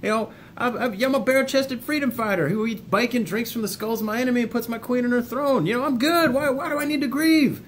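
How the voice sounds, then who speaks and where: A man's voice: extremely high pitch, fast talker, hardly any pauses, fairly bright tone, a little hiss or hum, voice pushed up. A man voicing a cocky macho tough guy who blusters defensively that he is fine, in an informal video. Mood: boastful denial.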